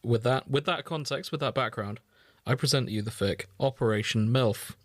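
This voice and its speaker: Man, mid-range pitch, casual podcast chat, droll, understated. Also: normal speed, no echo, studio quality. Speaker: podcast co-host.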